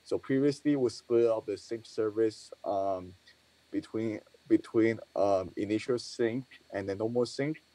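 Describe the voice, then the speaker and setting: A man's voice: on the low side, a little slow, dark tone, no echo, low-quality recording. A man giving a developer team status update on a video call.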